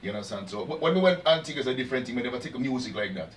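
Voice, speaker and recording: A man's voice: mid-range voice, lively intonation, racing, slight background noise. A man from Dominica with a Jamaican-influenced Caribbean accent, a dancehall artist talking casually in an interview and often asking "you understand?". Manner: laid-back, repeats "you understand", dialect speech. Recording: roomy sound, distant mic, faint ambience.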